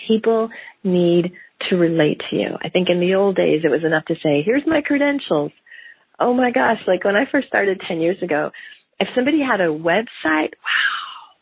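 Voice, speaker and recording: A middle-aged woman with a slight cold and a gravelly voice hosts a solo business podcast, talking in an animated way and acting out quotes in lively voices. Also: mid-range voice, moderate pace, dry recording. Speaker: podcast host. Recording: phone-like narrow band, dry.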